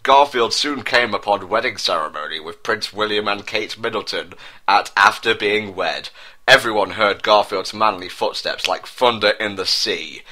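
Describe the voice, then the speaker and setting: A man's voice: fairly low pitch, normal speed, pushed and bright. A man reading a comic story in mock-dramatic style, theatrically stressing clumsy wording. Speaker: narrator of comedic dramatic fan-fiction reading.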